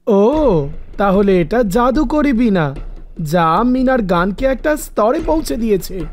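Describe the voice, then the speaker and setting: A woman voicing a jealous, scheming young boy in an animated moral story, with a sly delivery. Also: fairly high pitch, a little slow. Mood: suspicious, scheming. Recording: music behind the voice. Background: background music.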